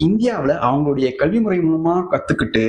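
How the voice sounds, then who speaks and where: A man's voice: a little high, expressive, brisk, darkish tone, reverberant. A man with a Tamil Nadu accent giving scornful, colloquial, opinionated political commentary straight to viewers in a combative way.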